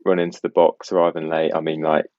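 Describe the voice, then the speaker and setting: A man's voice: very deep voice, little pitch movement, quick pace, no room sound, highs cut off. A young man who grew up in Belgium, with an international English accent carrying faint West Midlands traces, chatting casually and self-deprecatingly as a podcast guest.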